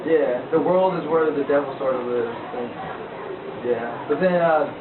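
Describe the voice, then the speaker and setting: A teenage boy casually sharing his faith testimony with a group of listeners, rambling with lots of filler words and asking them questions. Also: measured pace. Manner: rambling with frequent likes, asks the listeners questions. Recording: echoey, distant, tinny low-fi recording with a noisy crowd.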